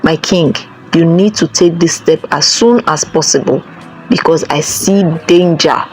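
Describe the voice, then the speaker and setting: Woman reading a grave priest in a folktale, low voice, solemn and ominous. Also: measured pace, faint music behind, low-quality recording. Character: grave, foreboding male chief priest.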